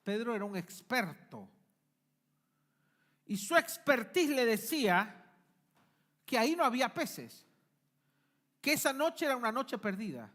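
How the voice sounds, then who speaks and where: A male pastor, preaching a sermon emphatically, swinging between forceful peaks and quiet asides. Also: extremely high pitch, sing-song, measured pace, pauses often. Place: church.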